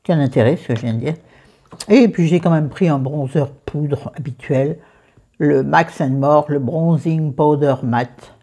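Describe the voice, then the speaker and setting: Low husky southern French woman. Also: unhurried, warm tone. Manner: chatty, self-mocking, talks to viewers and friends by name. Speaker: beauty vlogger. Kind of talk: casual makeup and skincare chat.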